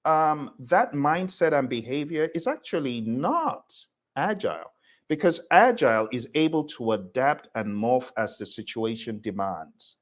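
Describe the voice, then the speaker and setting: A man's voice: medium pitch, animated, a little slow. A man teaching a live online class, talking in an emphatic, conversational way and addressing listeners directly. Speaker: instructor hosting a live class. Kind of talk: live online lecture with audience questions.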